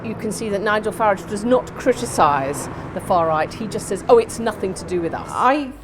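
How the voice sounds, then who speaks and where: Measured middle-aged woman, analytical. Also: mid-range voice, expressive, noisy background. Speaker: local interviewee. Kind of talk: interview answers. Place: small campaign office indoors.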